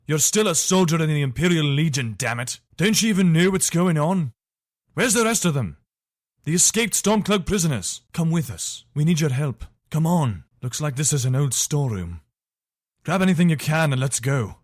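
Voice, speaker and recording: A man's voice: fairly high pitch, animated, moderate pace, no echo, clean studio sound, close to an everyday voice. A man playing a dutiful, weary but decent imperial soldier in a fantasy video game, speaking earnestly in short, commanding phrases. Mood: urgent, commanding. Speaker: voice actor for a game mod.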